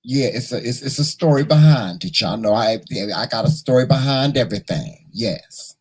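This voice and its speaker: A hoarse man hosting a casual morning livestream, chatting and teasing his viewers in a folksy way. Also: mid-range voice, expressive, moderate pace, a little mellow. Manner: folksy, teasing, talks to the chat; repeats words and restarts often. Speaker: morning show host.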